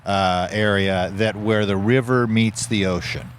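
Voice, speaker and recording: A man from California, chatting in an easygoing, halting way with many pauses, outdoors in a park. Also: fairly low pitch, steady tone, slight background noise. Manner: easygoing, halting, lots of fillers. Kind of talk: casual chat. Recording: faint outdoor ambience.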